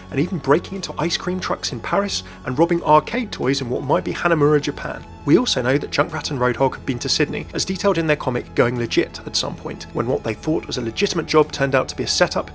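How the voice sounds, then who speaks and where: A man presenting a gaming video in scripted explainer narration. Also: fairly high pitch.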